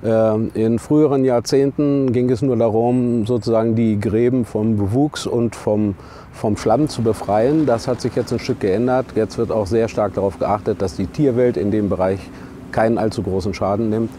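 Older man, very dark mellow voice, outdoors. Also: on the low side, fairly even intonation, normal speed. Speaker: agricultural chamber spokesman being interviewed. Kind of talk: interview explanation. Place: outdoors at a machinery fair field. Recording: faint outdoor machinery and ambient noise. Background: faint vehicle and outdoor noise.

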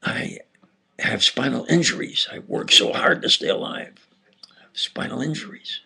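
An older man with an Upstate New York and New Jersey accent, giving a halting, insistent monologue lecture with sudden loud emphasis. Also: medium pitch, animated, creaky, husky, whispered. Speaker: self-styled preacher lecturing on camera.

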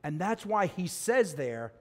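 A middle-aged man preaches from a church pulpit, his voice climbing high and falling low. His delivery is expressive and animated, with loud emphatic peaks and hushed low passages. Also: unhurried. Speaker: pastor. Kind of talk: sermon.

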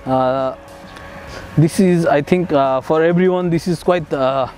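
A young man from Nepal, a master's student, talking casually about his studies with many hesitant fillers and soft chuckles, recorded on a lapel mic. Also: a little high, unhurried, soft background music. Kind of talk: casual testimonial interview. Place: outdoors on a city riverside path and in a bright building.

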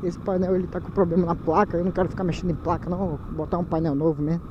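A man's voice: a little high, flat delivery, racing. A man from Manaus with a Brazilian Portuguese accent, chatting casually in slang to his viewers while riding a motorcycle at night, recorded on a helmet camera mic. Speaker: motovlogger. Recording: dull helmet-cam audio with loud wind and engine noise.

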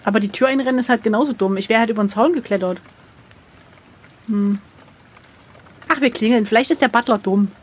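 Hohe Frauenstimme, locker und verspielt. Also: female voice, a little high, a little fast, long pauses, breathy edge. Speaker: co-host, player of an adventure gamebook. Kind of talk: casual playful chat.

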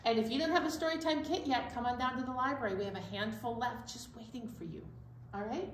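A woman's voice: on the high side, quick pace. A middle-aged woman hosting a storytime for young children, explaining things simply and speaking to them directly. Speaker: children's library storytime host. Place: indoor library storytime room. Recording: roomy, distant mic, faint room noise.

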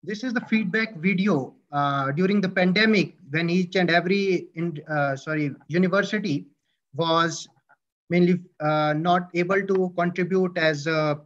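A man's voice: high-pitched, normal speed, a bit bright. A man with an Indian English accent presents a university department from slides, with fillers and self-corrections. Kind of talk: presenting slides in an online orientation. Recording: dull lo-fi audio.

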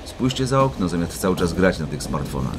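Playful dad with lively swooping pitch. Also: male voice, a little fast, music in the background. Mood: playful, teasing. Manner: jokey, rhyming wordplay. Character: playful, teasing, busy father of young children. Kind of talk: children's audio drama acting.

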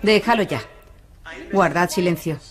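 An actress with a Castilian Spanish accent dubbing a blunt, insistent addiction counsellor in a documentary. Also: female voice, fairly low pitch, a little slow, close to an everyday voice. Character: blunt, no-nonsense woman counselling recovering addicts. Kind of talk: dubbed documentary conversation. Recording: busy room chatter behind.

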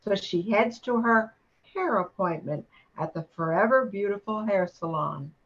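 A woman's voice: mid-range voice, animated, unhurried, a bit bright, highs cut off. An older woman announcing and narrating a scripted amateur radio play.

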